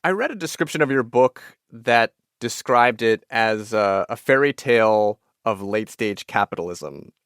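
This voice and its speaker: A man's voice: fairly low pitch, lively intonation, normal speed, few pauses, fairly bright tone, clean tone, no echo, studio quality. A man hosting a radio podcast, mixing scripted narration with conversational interview questions.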